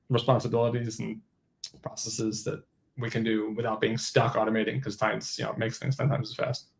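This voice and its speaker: A man's voice: mid-range voice, creaky. A man speaking as an engineer and guest in a webinar, answering in an informal, explanatory way as if thinking aloud. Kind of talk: webinar interview answers, conversational tech talk.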